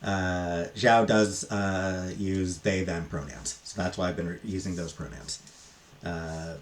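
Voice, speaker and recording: A man talking casually and unscripted as a book reviewer, in a halting, hesitant way with trailing asides. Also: sits low.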